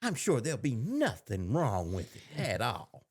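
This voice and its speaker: A man's voice: medium pitch, wildly swooping pitch, unhurried, a little mellow, slightly rough. A man co-hosting a podcast in casual chat, playful and often repeating himself as he thinks. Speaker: podcast co-host. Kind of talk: casual chat about books and shows.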